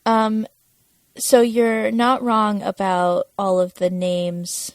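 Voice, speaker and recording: A woman's voice: mid-range voice, monotone, takes it slowly, dry recording. A woman talking casually as a podcast co-host, chatting through a television episode. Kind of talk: casual chat about a TV episode.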